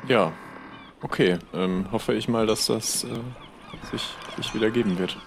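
A man's voice: lowish voice, a little slow, a little hiss or hum. A man improvising casual pirate role-play dialogue as a player, chatty and somewhat hesitant.

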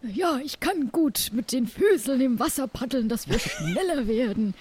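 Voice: sits high, big pitch swings, measured pace, a little mellow, slightly rough, exaggerated voice. A performer playing an eager, clumsy little companion in an improvised audio play, speaking earnestly.

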